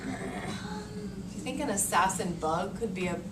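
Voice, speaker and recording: An American woman in her thirties, an elementary teacher reading aloud to young children in a deliberate, expressive way, thinking aloud in simple words. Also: mid-range voice. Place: elementary classroom, indoors. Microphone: far camera. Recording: noisy echoey classroom, distant camera mic.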